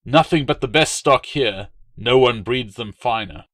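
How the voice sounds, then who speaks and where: A man's voice: mid-range voice, measured pace, a bit bright, dry recording. A man voicing a wary, fawning dog breeder in an audiobook, with an obsequious salesman's manner. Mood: pitching, eager. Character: wary, fawning male dog breeder hawking fighting hounds. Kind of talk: audiobook character dialogue.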